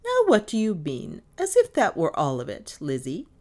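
A woman voicing a brisk, no-nonsense older mistress of the house, crisp, decisive and ironic, in an audiobook. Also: sits low, sing-song, full character voice. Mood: sharp, crisp. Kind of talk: audiobook character dialogue.

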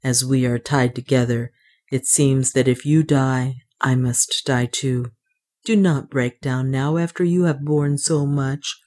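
A woman's voice: low-pitched, normal speed. A woman voicing a brave male adventurer in an audiobook, firm and commanding yet tender. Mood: tender, steady.

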